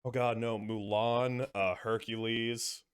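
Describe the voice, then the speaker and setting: A man's voice: mid-range voice, flat delivery, slow, clear, resonant tone. A young man, a guest in a casual chat, laid-back and joking, swearing casually. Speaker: podcast guest.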